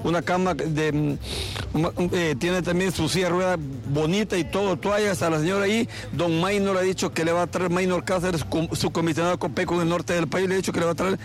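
A man with a Honduran accent reporting from the scene for a news broadcast, talking rapidly and repeating himself with quick affirmations. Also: high-pitched, steady tone. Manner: rapid, repetitive, affirming interjections. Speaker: field reporter.

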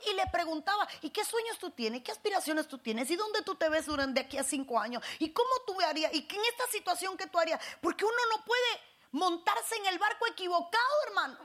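A middle-aged woman from Cuba with a Cuban Spanish accent, preaching a sermon as pastor with call-and-response and emphatic high bursts. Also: fairly bright tone.

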